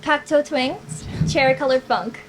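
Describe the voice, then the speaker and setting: A woman plays a playful, self-assured girl in a live screenplay read. Her voice sits high, and she comes across as an exuberant show-off among close friends. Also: a little slow, poor audio. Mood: playful, cocky. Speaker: actor at a screenplay table read.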